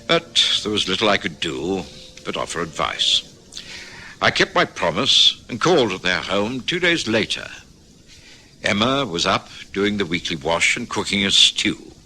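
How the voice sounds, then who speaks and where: An actor playing an earnest, caring family doctor in an old radio drama, speaking in a grave, measured and admonishing manner. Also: male voice, deep voice, sing-song, sharp tone, heavily acted. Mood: reflective narrating. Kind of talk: old-time radio drama acting and narration.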